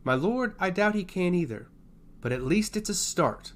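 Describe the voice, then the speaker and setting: A man puts on a stoic female advisor from a fantasy tale, pitched up and varied in tone. She is composed and deferential, gently persuading her lord. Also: lively intonation, moderate pace. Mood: calm persuasion. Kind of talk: fantasy audio story.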